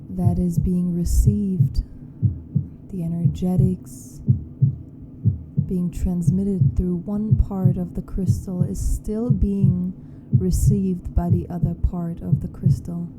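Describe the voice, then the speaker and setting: A woman leading a guided meditation in a hushed, deliberate manner with long pauses. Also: poor audio. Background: meditation music with a low hum.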